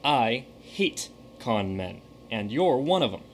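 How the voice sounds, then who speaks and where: An actor playing a wry, scolding ghost in a radio play, with a dry, teasing delivery. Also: male voice, medium pitch, big pitch swings, slow. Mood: stern.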